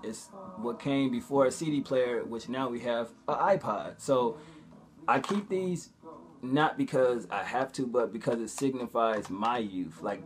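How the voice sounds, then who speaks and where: Young adult male vlogger, medium pitch, informal and slangy, swears freely, casual conversational delivery. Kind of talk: casual vlog chat.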